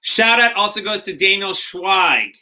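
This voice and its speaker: A man's voice: high-pitched, expressive, measured pace, sharp tone. A young man co-hosting a podcast, chatting casually with rambling, joking asides and frequent restarts. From America, later lived in Israel. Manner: rambling, joking, self-interrupting. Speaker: podcast co-host. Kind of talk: casual chat.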